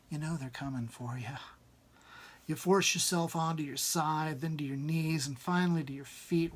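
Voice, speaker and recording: Slow-paced husky man reading a story. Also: a little high, fairly bright tone. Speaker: author reading own short story. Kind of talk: dramatic second-person story narration after casual introduction.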